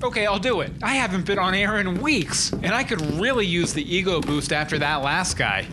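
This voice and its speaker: A man acts a self-important, needy American anchorman in a comic audio drama. His voice is high and very animated, with clear noise and ambience around it. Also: moderate pace. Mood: hesitant. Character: vain, needy American fake-news anchorman.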